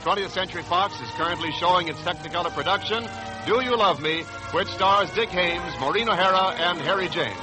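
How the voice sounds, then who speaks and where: A man's voice: high-pitched, fairly quick, over music, rough recording. An American man announcing in scripted 1940s radio style, emphatic, formal and polished, on an old broadcast. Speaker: radio announcer and sponsor spokesman.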